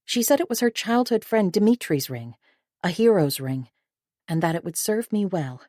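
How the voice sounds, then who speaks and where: Young woman, first-person audiobook narrator, lowish voice, dry close studio sound. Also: expressive, normal speed, warm tone, no echo.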